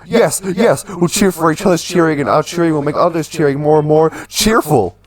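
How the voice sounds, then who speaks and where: A man voicing an earnest, excitable young werewolf jock from a video game, naive and bro-ish. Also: on the high side, brisk, pitched above the performer's own voice, close to an everyday voice. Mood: excited.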